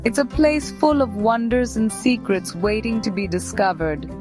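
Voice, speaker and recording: A woman's voice: fairly high pitch, normal speed, music in the background. A woman narrator hosting a children's story channel, reading a scripted tale for young listeners.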